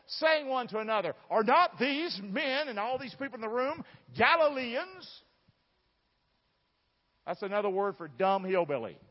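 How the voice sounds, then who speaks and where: Southern guy preaching, folksy and emphatic, voice swings all over, big echoey hall, kinda muffled sound. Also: very high voice. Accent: Southern American. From southern United States. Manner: emphatic, folksy, asks the audience questions. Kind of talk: sermon to a congregation. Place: large church room. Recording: dull, reverberant large hall sound.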